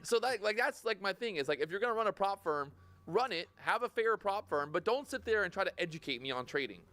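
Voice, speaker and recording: A blunt man argues in a casual podcast, swearing often and pushing his point. His voice sits slightly high and his words come quickly, rushing from one thought to the next. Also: expressive, pushed and bright, dry recording. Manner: blunt, profane, fast-talking. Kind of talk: heated casual chat.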